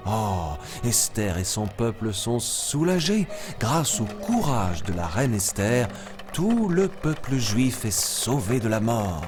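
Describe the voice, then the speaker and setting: A man playing a kindly jungle animal who tells children stories in an expressive, teacherly cartoon manner. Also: lowish voice, slow pace. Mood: storytelling. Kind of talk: children's story narration with acted dialogue. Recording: loud music behind.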